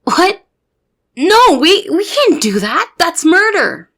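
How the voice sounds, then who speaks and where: A young woman playing a soft-hearted, easily exasperated secret agent in an ASMR audio roleplay. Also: very high voice, big pitch swings, slow, exaggerated voice. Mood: alarmed.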